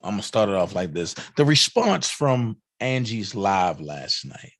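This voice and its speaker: A hoarse man hosting a livestream, chatting casually and hyping up shout-outs to fellow channels. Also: fairly low pitch, sing-song, unhurried, dry recording, clean studio sound. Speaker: livestream host.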